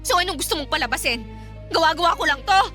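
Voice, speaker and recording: A woman's voice: extremely high pitch, lively intonation, fast, sharp tone, faint music behind, heavily acted. A Filipino woman acting in a radio drama as an anxious, tearful and insistent young woman who lives alone. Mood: hurt, insistent.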